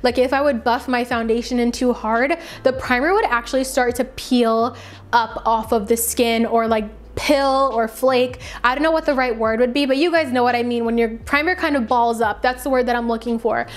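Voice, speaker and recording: A woman beauty vlogger giving a casual, chatty product review to camera, emphatic and conversational, her voice slightly raspy and tired from talking. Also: fairly high pitch, steady tone, moderate pace.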